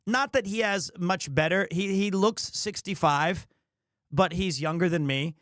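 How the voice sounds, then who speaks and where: A man's voice: sits high, a little slow, a bit bright, no room sound, studio quality. A man hosting a livestream with casual, joking, self-deprecating rambling. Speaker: streamer, host. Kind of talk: casual livestream chat with viewers.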